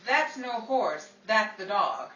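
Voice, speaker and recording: Female performer as sassy, exasperated 1940s Hollywood starlet for radio comedy; animated delivery, roomy distant recording. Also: medium pitch, slow pace, heavily acted. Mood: wry, needling. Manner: wisecracking, theatrical, dry comebacks. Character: witty, exasperated 1940s Hollywood actress, dog-sitting. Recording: roomy, distant, noisy, crowd sound behind.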